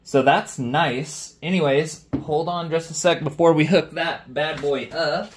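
Male product reviewer, slightly high voice, informal and unscripted, chatting through an unboxing. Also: unhurried, a little hiss or hum. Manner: informal, slangy, off-the-cuff. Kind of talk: casual unboxing commentary. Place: small indoor room with shelves of speakers.

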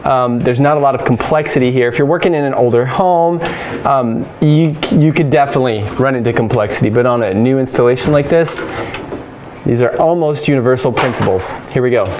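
A man in his thirties with an American accent and a medium-pitched voice talks through hands-on work like a practical electrician. His manner is informal, matter-of-fact and explanatory. Clear ambient noise of an unfinished basement sits behind the voice. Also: brisk. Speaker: electrician presenting a how-to tutorial. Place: unfinished basement with exposed joists. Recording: clearly noisy room ambience.

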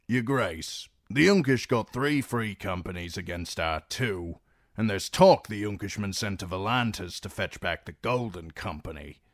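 A man's voice: lowish voice, lively intonation, unhurried, no room sound, clean studio sound. A man doing a grizzled old sellsword. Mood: blunt. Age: older. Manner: blunt, rough-spoken. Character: grizzled old mercenary captain, blunt.

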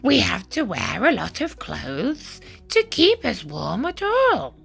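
Woman voicing a shy, gentle yeti. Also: medium pitch, wildly swooping pitch, slow, gravelly, breathy edge, soft background music, heavily acted. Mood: pleading. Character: shy gentle male yeti, lonely and kind.

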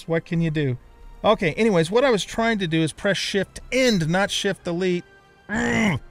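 A middle-aged man giving casual let's play commentary, thinking aloud as he plays. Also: fairly high pitch. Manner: talks to himself, thinking aloud.

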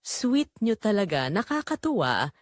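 A woman voicing a kindly, trusting middle-aged father in an audio drama, talking gently and appreciatively to his family. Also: mid-range voice, big pitch swings, unhurried, no echo, studio quality. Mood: fond, pleased.

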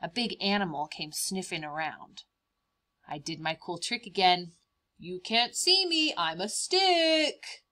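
A woman voicing a cheerful, boastful young praying mantis in a picture-book read-aloud, expressive and emphatic, stretching out words. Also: fairly low pitch, takes it slowly, heavily acted. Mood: boastful, playful.

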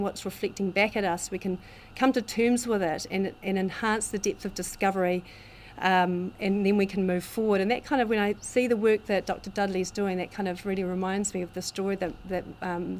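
A woman introducing a guest speaker in a halting way with many fillers, in a meeting room. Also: mid-range voice, darkish tone, a little hiss or hum. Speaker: event host introducing the speaker. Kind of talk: spoken introduction.

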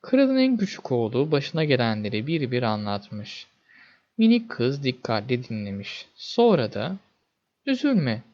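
Male storyteller for fairy-tale narration, expressive and dramatic, very animated pitch, mid register. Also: normal speed, dull audio.

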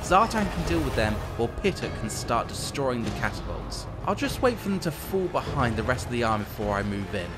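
A man presenting a strategy video game in an expressive, scripted storytelling narration. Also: mid-range voice, a little fast, few pauses. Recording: game music behind the voice. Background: video game music.

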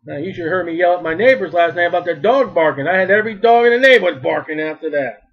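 A street preacher, a man with a high, big, loud voice, preaches with emphatic force that keeps swelling. The recording is dull and lo-fi. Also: lively intonation, fast talker, slightly rough. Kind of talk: sermon.

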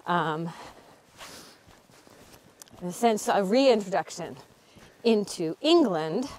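A woman's voice: mid-range voice, animated, takes it slowly, darkish tone. A middle-aged woman hosting a casual walking talk outdoors, explaining history with frequent ums. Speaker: author and channel host. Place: outdoors on a cold hillside.

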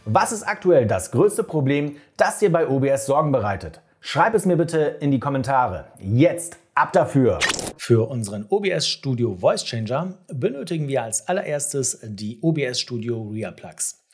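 Slightly husky man giving a tutorial. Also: medium pitch, big pitch swings, moderate pace, a little mellow, soft background music. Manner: direct, instructive, addressing the viewer. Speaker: tutorial host. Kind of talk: software tutorial, conversational step-by-step explanation. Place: small home studio room, indoors.

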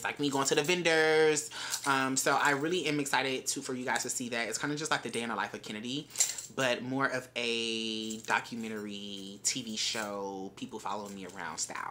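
Bright, animated young woman vlogger. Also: a bass voice. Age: 20s. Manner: animated, profane, playful bursts of shouting and sing-song. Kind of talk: casual direct-to-camera vlog chat. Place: bedroom closet with shelves of bags and shoes, indoors.

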